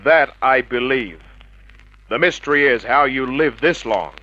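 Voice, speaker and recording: An actor playing a tough, wisecracking private detective, sardonic and hard-boiled, in an old radio drama. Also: male voice, medium pitch, animated, unhurried, sharp tone. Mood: irritated, sarcastic. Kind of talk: mid-century radio drama acting with noir narration. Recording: muffled vintage radio drama recording.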